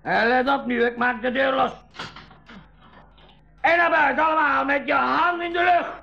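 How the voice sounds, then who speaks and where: High, gravelly, blustering pirate captain. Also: male voice, normal speed. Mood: bossy, threatening. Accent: Flemish. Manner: blustering, stammering when alarmed. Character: gruff, gullible pirate captain, bossy when frightened. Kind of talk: 1980s school radio play acting. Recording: dull, band-limited 1980s radio recording.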